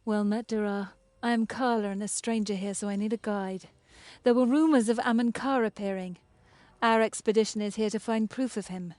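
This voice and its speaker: A woman's voice: medium pitch, fairly even intonation, normal speed, a little mellow, no room sound, close to an everyday voice. A woman voicing a determined, businesslike adventurer in a fantasy video game. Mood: determined, polite.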